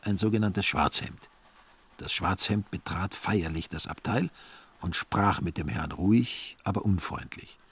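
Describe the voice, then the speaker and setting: A man's voice: fairly low pitch, husky. A man narrating a literary story for a radio play in scripted, storytelling style.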